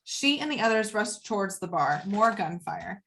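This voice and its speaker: Woman narrating screenplay action, middle pitch. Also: animated, normal speed, a bit bright, a little hiss or hum. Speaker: table read narrator. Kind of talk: reading screenplay action lines.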